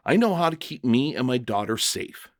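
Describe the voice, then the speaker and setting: Man as a guarded, troubled jailer with animated pitch. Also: moderate pace, no room sound, studio quality. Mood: worried, arguing. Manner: guarded, questioning, argumentative. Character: troubled male jailer, anxious protective widowed father. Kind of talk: dramatic short-story reading.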